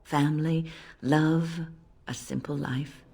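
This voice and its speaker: Fantasy game mentor: older female mage, deep voice, measured, reflective, gentle but firm. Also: steady tone, vocal fry, breathy edge, heavily acted. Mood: wistful, reflective. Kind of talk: dramatic game dialogue.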